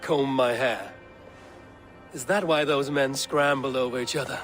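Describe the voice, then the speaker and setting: An actor playing a steadfast, curious Viking raider in a video game, speaking in a measured, earnest and wry way. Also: male voice, mid-range voice, fairly even intonation, a bit bright, faint music behind, close to an everyday voice. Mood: wry, soft.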